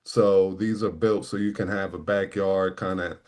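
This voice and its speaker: Man, very low voice, from Puerto Rico, raised in Newark, livestream panel regular, laid-back, lots of "you know". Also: steady tone, normal speed, a little mellow. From Puerto Rico, raised in Newark, New Jersey. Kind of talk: casual livestream chat.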